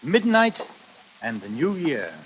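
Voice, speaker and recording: Deluded theatrical strangler, swinging pitch. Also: male voice, very animated, slow pace, rough recording. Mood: menacing, expectant. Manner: theatrical, menacing, grandly courteous. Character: deluded stage actor believing himself a murderous strangler. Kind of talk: 1940s radio thriller acting. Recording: hissy vintage 1940s radio recording.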